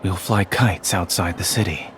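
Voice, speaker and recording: Low-voiced, composed fantasy general. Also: male voice, a bit bright. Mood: composed, tender. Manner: measured, formal, dryly understated. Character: calm, formal, dryly teasing young male fantasy general. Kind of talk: restrained romance game voice acting. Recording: screen-recorded game audio with faint noise.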